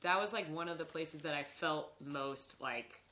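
A woman's voice: deep voice, unhurried, bright, forceful tone. A young woman chatting casually as a podcast host, speaking informally and peppering her sentences with "like". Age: young adult. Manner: informal, frequent "like" as filler. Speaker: podcast host and improv performer. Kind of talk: casual conversational chat.

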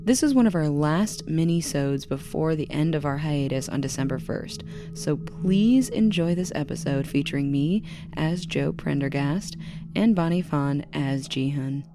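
A woman's voice: deep voice, a little slow, music in the background. A woman hosting a podcast, reading an announcement in a casual way. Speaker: podcast host and voice actor.

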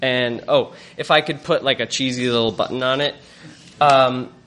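Halting, reflective man sharing a memory. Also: mid-range voice, steady tone, fairly bright tone. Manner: halting, reflective, many fillers and restarts. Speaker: storyteller at a live event. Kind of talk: personal storytelling to a live audience.

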